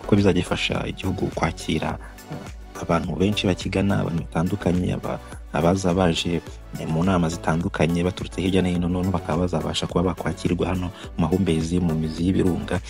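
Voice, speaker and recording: A man's voice: deep voice, little pitch movement, racing, creaky, a little mellow, soft background music. A Rwandan man narrating a tour video, talking on with many restarts and fillers. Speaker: video presenter.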